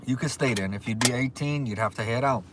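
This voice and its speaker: A man's voice: mid-range voice, fast, noisy background. A man in his forties with a Louisiana accent, hosting a casual livestream and answering viewer comments in an easygoing way, often repeating himself. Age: 40s. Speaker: livestream host. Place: restaurant. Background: faint restaurant ambience.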